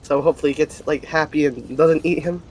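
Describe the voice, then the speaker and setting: A man's voice: a little high, steady tone, fast talker, rough recording. A man casually narrating the action in a tabletop roleplaying game. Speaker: tabletop RPG player.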